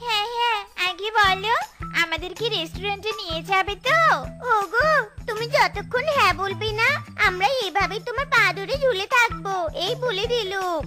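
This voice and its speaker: An extremely high, girlish cartoon fox wife. She is greedy and petulant, nagging and bossing with whiny insistence. Loud tinkly music runs behind her. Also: moderate pace. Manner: whining, bossy, insistent. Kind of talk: cartoon voice acting in a comic folk tale.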